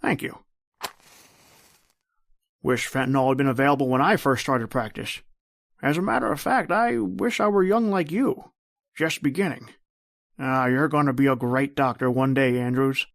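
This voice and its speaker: A man's voice: mid-range voice, moderate pace, vocal fry, pauses often, dry recording, studio quality, natural voice. A man playing an older, kindly, fatherly doctor in a radio drama, speaking in a folksy, hesitant, encouraging way. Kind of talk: old-time radio drama acting.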